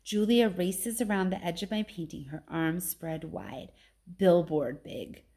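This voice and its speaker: A woman teacher reading a children's novel aloud in an expressive storytelling style. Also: lowish voice, moderate pace.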